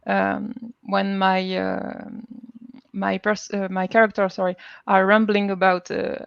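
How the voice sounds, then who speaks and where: A woman with a French accent talking informally as a panel guest, haltingly searching for words. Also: mid-range voice, level tone, creaky, clear, resonant tone, no room sound, muffled recording. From France. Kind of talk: informal live panel talk.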